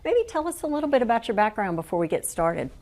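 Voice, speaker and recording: A woman hosting a health talk show, giving measured introductions and gently prompting her guest with questions. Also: on the high side, big pitch swings.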